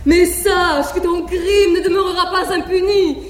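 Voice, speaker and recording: An actress playing a proud, wronged noble wife who declaims with bitter irony in a classical stage drama, on an old live theatre recording. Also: female voice, very high-pitched, steady tone, measured pace, roomy sound, big-room sound. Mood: vengeful.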